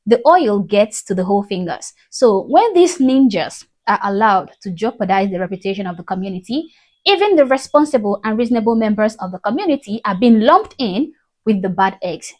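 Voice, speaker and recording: A woman's voice: medium pitch, lively intonation, a little fast. A woman, an Igbo speaker, giving blunt, mocking reaction commentary. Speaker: commentary host.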